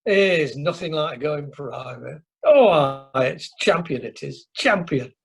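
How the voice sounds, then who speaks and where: An actor playing a boastful, jovial older husband with a Yorkshire accent, hearty and repetitive, in an amateur radio comedy. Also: male voice, on the high side, lively intonation, slow pace, creaky, husky, exaggerated voice. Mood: smug. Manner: hearty, repeats himself.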